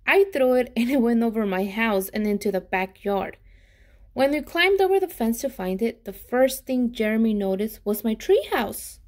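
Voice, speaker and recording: High-voiced woman reading a children's storybook. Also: normal speed. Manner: animated, with dramatic gasps and emphasis. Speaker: children's read-aloud storyteller. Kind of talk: expressive storybook reading, pausing to ask children questions.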